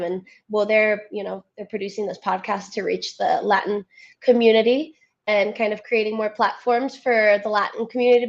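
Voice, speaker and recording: A woman's voice: medium pitch, creaky, dull audio. A woman in her twenties, a Spanish native speaker, chatting as a podcast guest in a bubbly way, laughing and searching for words. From United States. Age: 20s. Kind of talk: casual chat.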